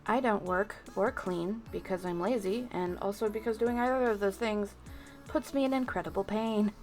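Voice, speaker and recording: A woman livestreamer chatting casually to her viewers, musing off the cuff with pauses between thoughts. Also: medium pitch, darkish tone, faint music behind. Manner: unscripted musing, addressing chat, with pauses. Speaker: VTuber livestreamer.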